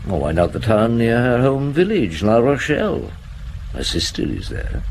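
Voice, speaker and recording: An actor playing a seasoned, middle-aged police chief in a radio drama, musing unhurriedly and asking terse, wry questions. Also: male voice, medium pitch, wildly swooping pitch, moderate pace, darkish tone. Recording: clear background noise.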